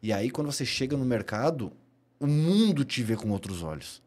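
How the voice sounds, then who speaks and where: A man's voice: mid-range voice, big pitch swings, moderate pace, darkish tone. A middle-aged Brazilian man telling stories as a podcast guest, emphatic and given to repeating key phrases. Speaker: podcast guest, personal trainer. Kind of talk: animated storytelling in a podcast interview.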